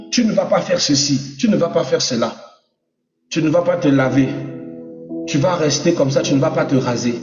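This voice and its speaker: Fervent preacher in echoing hall. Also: male voice, darkish tone. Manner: fervent, rising to high emphatic peaks, addressing the congregation. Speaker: apostle preaching. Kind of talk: sermon teaching with personal testimony. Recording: roomy hall reverb, distant mic, dull sound, music behind.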